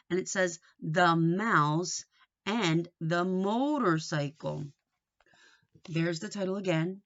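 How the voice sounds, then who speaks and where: A woman teaching and reading aloud to young children, explaining things simply, asking them questions and reading with deliberate expression. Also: on the low side, fairly bright tone. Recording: dull, lo-fi sound.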